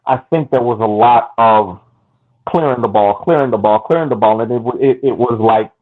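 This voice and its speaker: Man, co-host, thinking it through out loud, phone-quality audio. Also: medium pitch, normal speed. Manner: thoughtful, repeats phrases for emphasis. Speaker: podcast co-host. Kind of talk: casual soccer analysis chat. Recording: tinny phone-like line.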